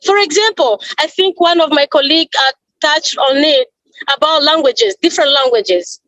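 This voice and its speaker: A woman with a high voice speaks at a panel event. She talks earnestly, in halting phrases, often stopping to repeat or rephrase herself. The recording is dry, with almost no sound of the room. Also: a bit bright, dry recording, highs cut off. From Congo. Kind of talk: earnest speech at an online panel.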